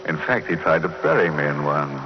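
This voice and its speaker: An actor playing a macabre, wry horror host on 1940s radio, slow and mock-courteous with morbid puns. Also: male voice, extremely low pitch. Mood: ghoulishly genial. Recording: muffled old radio recording, music behind.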